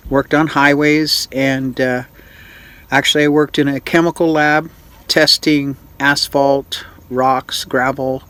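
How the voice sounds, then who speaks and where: A man in his sixties from Utah hosting a casual question-and-answer vlog, speaking in a folksy, unhurried way with frequent pauses and filler sounds. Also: mid-range voice, fairly bright tone. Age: 60s. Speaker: vlog host.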